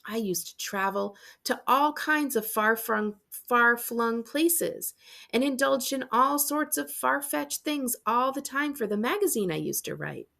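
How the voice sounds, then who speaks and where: Woman playing high-voiced, theatrical eccentric aunt. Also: lively intonation, a little slow, gravelly, bright, forceful tone, exaggerated voice. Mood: proud, reminiscing. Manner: effusive, grandly dramatic delivery. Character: theatrical, warm, eccentric retired adventurer aunt.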